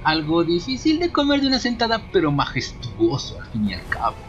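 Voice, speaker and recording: Mexican guy doing a film review voiceover, slangy and sarcastic, kinda high voice, music behind. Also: huge pitch swings, brisk, a bit bright, dull audio. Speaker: video reviewer. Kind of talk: informal scripted film review voice-over.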